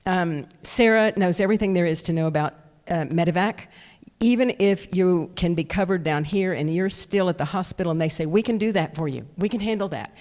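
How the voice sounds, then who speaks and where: A woman's voice: on the low side, a little fast, a little mellow. An older woman speaking at a club meeting, telling her personal story casually and introducing a guest speaker. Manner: chatty storyteller, laughs at her own story. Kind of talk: casual talk with personal anecdotes. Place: indoor meeting room. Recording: long reverberant room sound.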